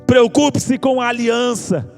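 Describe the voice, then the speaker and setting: A man's voice: extremely high pitch, a little slow, darkish tone. A man with a Brazilian accent preaching an evangelical sermon, exhorting listeners with impassioned, repeated appeals. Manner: impassioned, exhorting, rhetorical repetition. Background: music under the preaching.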